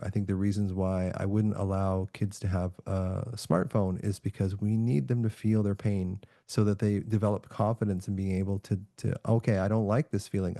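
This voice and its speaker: A middle-aged man from Canada talks in a calm, reflective, soothing way as a podcast guest. His voice sits low with a gravelly edge, and the sound is dry and close. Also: no room sound. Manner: calm, reflective, soft-spoken with frequent restarts. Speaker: podcast guest, author and neuroscientist. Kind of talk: relaxed remote podcast interview.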